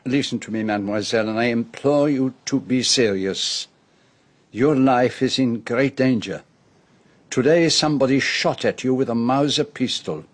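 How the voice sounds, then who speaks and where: A male actor as a gentleman detective in a radio mystery with a French accent and a medium-pitched voice. He is earnest and protective, choosing formal words deliberately and giving them theatrical weight. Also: close to an everyday voice. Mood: urgent, grave. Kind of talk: radio drama acting.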